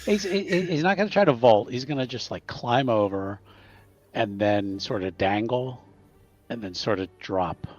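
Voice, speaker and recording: A man's voice: medium pitch, moderate pace, darkish tone, muffled recording. A man playing a tabletop roleplaying game, talking casually and a little tentatively as he describes his character's actions. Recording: music playing behind. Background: background music.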